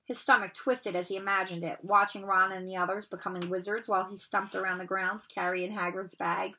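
Bright-voiced woman reading a story aloud. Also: mid-range voice, steady tone, fairly quick, low-quality recording. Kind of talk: expressive children's novel read-aloud. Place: bedroom at home, indoors.

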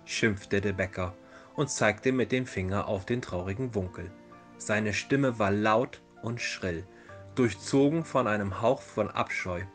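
A man's voice: fairly low pitch, unhurried. A man narrating a fairy tale as a storyteller in a dramatized reading. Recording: music behind the voice. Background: background music.

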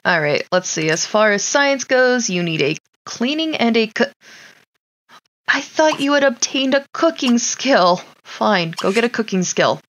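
A woman's voice: on the high side, animated, measured pace, fairly bright tone, dull audio. Wry woman chatting over a life-sim game. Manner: wry, chatty, talks to her characters. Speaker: gaming streamer. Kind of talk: casual gameplay commentary.

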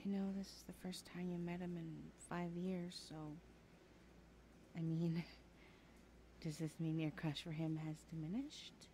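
A woman's voice: lowish voice, little pitch movement, a little slow, long pauses, mellow, a little hiss or hum, lightly acted, low-quality recording. A woman playing a sassy, blunt twenty-one-year-old best friend in a casual, slangy audio roleplay, often sighing. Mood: concerned, teasing. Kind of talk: conversational ASMR roleplay acting.

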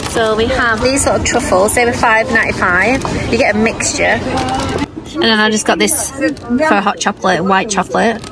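A woman's voice: on the high side, normal speed. A woman in her thirties chatting enthusiastically as she vlogs a day trip, filming herself on a phone at arm's length. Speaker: travel vlogger. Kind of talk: casual vlog narration. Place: outdoors in town streets and squares. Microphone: phone camera at arm's length. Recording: loud crowd and music behind.

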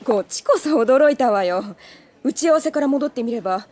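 A woman playing a brisk, amused adult editor in an anime audio drama, talking in a wry, candid way. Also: on the high side, animated, darkish tone, natural voice. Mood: amused, surprised.

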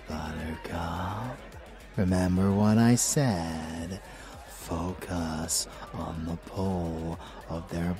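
A low-voiced, murderous vampire boyfriend acted by a man in an intimate ASMR audio drama. He savors his words at a very unhurried pace, doting and crude, with gleeful menace. Loud club music pounds behind his voice. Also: exaggerated voice. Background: nightclub dance music.

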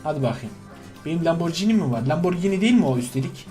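A man's voice: a little high, expressive, racing, music in the background. A man giving casual, slangy co-op gameplay commentary to a friend, full of exclamations and repeated words. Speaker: gaming video host.

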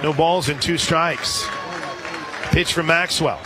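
An American man doing live radio play-by-play commentary of a softball game. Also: on the high side, takes it slowly. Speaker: radio play-by-play sports announcer. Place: outdoor stadium broadcast position. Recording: crowd noise and music behind commentary. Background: stadium crowd and music.

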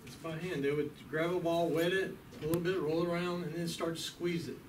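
A middle-aged man, an extension agent, teaching a hands-on class in a relaxed, folksy way and asking the group questions. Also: a little high, fairly quick, noisy background. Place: small classroom with hard walls. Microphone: far camera. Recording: echoey room from a distant camera.

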